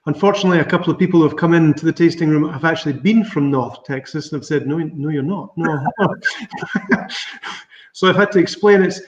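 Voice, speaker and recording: A man's voice: a little high, fairly quick, slight background noise. A middle-aged man from Scotland with a Scottish accent, speaking as a winemaker guest on a video call with a dry, self-deprecating humor. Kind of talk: casual video call interview. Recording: roomy, distant, dull video call audio.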